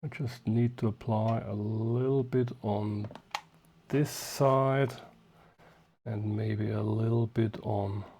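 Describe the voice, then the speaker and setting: A man giving an unscripted hands-on demonstration as a hobbyist, talking in short, halting phrases as he works, partly muttering to himself. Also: fairly low pitch, steady tone, dark tone, slight background noise. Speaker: hobbyist host of radio repair videos.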